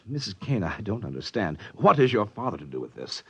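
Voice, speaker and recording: An actor playing a flustered, stuffy company official in a 1950s radio drama, formal and officious, with a stammering manner. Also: male voice, on the low side, very animated, brisk, bright, forceful tone, breathy edge, exaggerated voice. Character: flustered, stuffy male corporate official defending himself. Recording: dull, reverberant 1950s radio recording.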